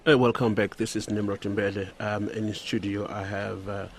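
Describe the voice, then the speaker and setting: A man's voice: on the low side, flat delivery, normal speed, faint music behind. A man hosting a radio interview, speaking with frequent hesitant fillers. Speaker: radio show host.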